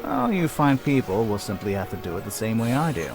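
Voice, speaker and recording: A man's voice: fairly low pitch, very animated, brisk. An actor playing a flamboyant, boastful male adventurer in a video game cutscene, theatrical and teasing, with music behind him. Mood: persuasive, showy. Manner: theatrical, teasing, self-satisfied.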